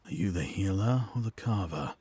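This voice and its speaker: A man acting a cautious, authoritative knight commander in an audio drama, husky, formal and commanding. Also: low voice, lively intonation, moderate pace, warm tone, slight background noise. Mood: commanding, guarded.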